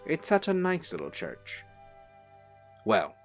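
An actor playing a charming, mysterious angel in human guise, ingratiating, in period drama style. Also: male voice, fairly high pitch, huge pitch swings, takes it slowly, soft background music, full character voice. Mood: probing, genial. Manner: smooth-talking, ingratiating. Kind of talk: period radio-drama acting.

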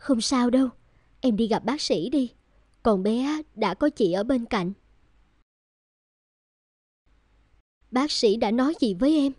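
A woman voicing a tender, caring young woman in a cartoon family drama, gentle and heartfelt. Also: on the high side, animated, moderate pace, dry recording, clean studio sound. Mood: concerned.

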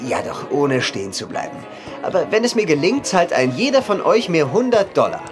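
A stubborn, boastful man in an audio drama. His delivery is theatrical and exclamatory, and his pitch leaps about wildly. Music plays clearly behind him. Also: very animated, brisk. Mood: defiant. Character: boastful, stubborn male runner in a wager. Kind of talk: German audio drama acting.

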